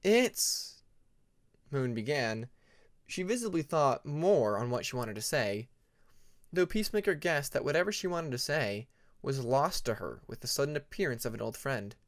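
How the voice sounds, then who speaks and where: A man reading a fantasy story aloud as scripted narration, in a measured storybook manner. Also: medium pitch, animated. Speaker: fan fiction audiobook narrator.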